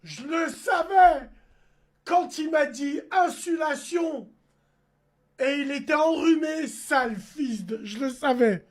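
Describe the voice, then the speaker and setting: A man hosting a call-in radio show in casual banter, animated, dropping to hushed tones and rising to loud exclamations. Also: extremely high pitch, slow.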